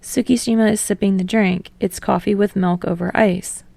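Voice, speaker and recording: A woman's voice: mid-range voice, steady tone, normal speed, gravelly, mellow, no room sound. A woman reading fiction aloud as a scripted audiobook narrator.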